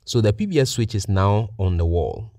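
Guy with a lowish voice walking thru a job step by step, methodical, dry close sound. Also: expressive, moderate pace, warm tone, no echo. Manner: methodical, plain-spoken. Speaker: technician presenting a how-to tutorial. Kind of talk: step-by-step instructional narration.

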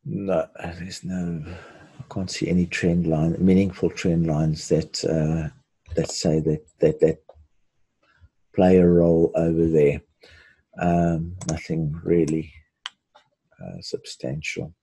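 An older man hosting a live webinar, giving unscripted commentary in a halting way with repeated words and restarts, his voice husky. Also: low-pitched, level tone, dark tone. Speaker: webinar host teaching forex chart analysis.

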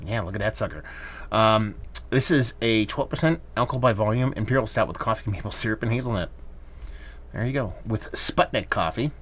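A man hosting a casual beer review, talking in a relaxed, rambling way with plenty of fillers. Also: lowish voice, a little fast, slight background noise.

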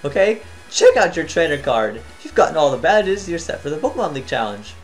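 A man's voice: a little high, sing-song, brisk, faint music behind. A man reading as a peppy gym guide. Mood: upbeat.

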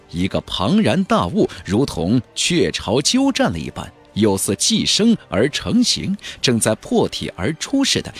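A man's voice: mid-range voice, husky. A man narrating a fantasy novel as a dramatic audiobook, with a theatrical, emphatic delivery.

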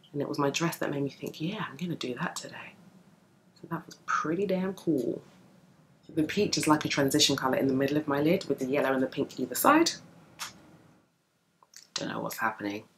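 Young woman vlogger, super low sleepy morning voice, chatty makeup tutorial at home. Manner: chatty, talks while applying makeup. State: just woken, deep morning voice. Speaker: beauty vlogger. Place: home room with bookshelves, indoors.

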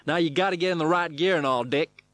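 A man voicing a brisk, folksy stunt coordinator with an emphatic, enthusiastic manner in a memoir reading. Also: fairly high pitch, fairly bright tone, voice pushed up, natural voice. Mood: brisk, hearty. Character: enthusiastic American male stunt coordinator, brisk cowboy wrangler.

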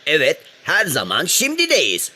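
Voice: mid-range voice, wildly swooping pitch, unhurried, bright, forceful tone, a little hiss or hum, exaggerated voice. A performer voicing a sulky but earnest cartoon frog who is learning to be happy, answering simply. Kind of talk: children's cartoon dialogue.

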